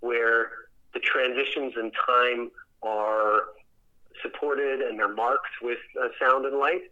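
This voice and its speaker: Male interview guest, stage director type, mid-pitched and bright, searching conversational delivery, slightly muffled lo-fi recording. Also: steady tone. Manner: halting, reflective, with repeated words and trailing restarts.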